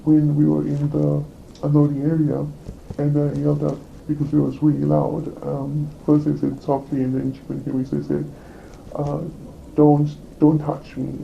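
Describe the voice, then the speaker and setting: A man speaks haltingly, stopping often and restarting his sentences, in a heavily gravelly voice pitched a little high. The recording sounds dull and lo-fi, with faint noise behind him. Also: completely flat delivery. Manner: halting, hesitant, with many fillers and restarts. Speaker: employee reporting a complaint. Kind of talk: recorded workplace meeting.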